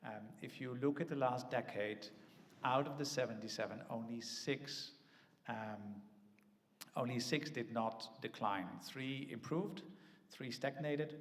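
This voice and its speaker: A man on a conference panel, speaking thoughtfully with many "ums" and tag questions like "right?" and "no?". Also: mid-range voice. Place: large conference hall. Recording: echoey conference hall.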